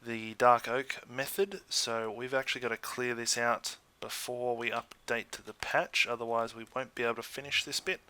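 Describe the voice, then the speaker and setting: An Australian man giving casual, chatty let's play commentary in an easygoing way, thinking out loud as he goes. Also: mid-range voice, level tone, moderate pace, hardly any pauses, clean tone, no room sound. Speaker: gaming YouTuber.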